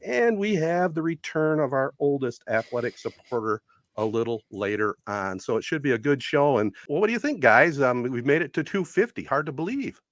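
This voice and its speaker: A man's voice: mid-range voice, animated, normal speed, dry recording. A man hosting a podcast in a casual, conversational style. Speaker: podcast host.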